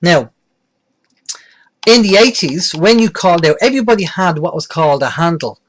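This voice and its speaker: Higher-voiced man giving an informal tutorial. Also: animated, moderate pace, rough recording. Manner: plain-spoken, explanatory, hands-on demonstrating. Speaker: presenter of a beginners' radio tutorial.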